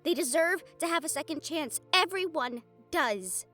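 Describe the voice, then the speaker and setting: Woman playing fledgling vampire sister, high voice, sardonic, defiant, audio roleplay, faint music underneath. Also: expressive, moderate pace, sharp tone. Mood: indignant. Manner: sarcastic, wry.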